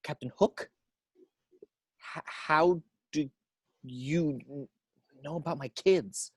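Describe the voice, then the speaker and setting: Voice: mid-range voice, takes it slowly, husky, dry recording. An actor playing a drunk, weary, disbelieving grown man, hesitant and sardonic, in a script read-through. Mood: anxious. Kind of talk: hobby table read acting.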